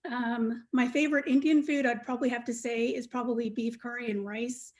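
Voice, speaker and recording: A middle-aged woman with an American accent and a fairly high voice speaks as a panelist. Her style is conversational, telling about herself to the group. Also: fairly even intonation, a little fast. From United States, Texas.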